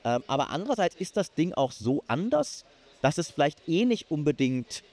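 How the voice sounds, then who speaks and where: A man's voice: on the high side, very animated, moderate pace, a little mellow. Hesitant man chatting on a live panel. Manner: hesitant, many fillers and restarts. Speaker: podcast co-host. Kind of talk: live panel chat about games. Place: live event stage.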